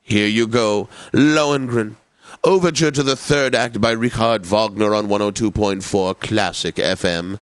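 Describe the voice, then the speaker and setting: An actor playing a pompous, drily witty classical radio DJ in a video game, with formal, over-polite, theatrical announcing. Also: male voice, lowish voice, unhurried, no room sound, close to an everyday voice. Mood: stately, obliging.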